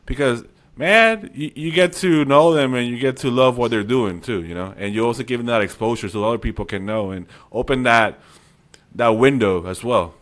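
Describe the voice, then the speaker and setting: Man who grew up speaking Spanish, mid-pitched, upbeat and encouraging interview guest. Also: moderate pace, a bit bright. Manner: upbeat, encouraging, says "you know" and "man" often. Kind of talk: casual interview chat.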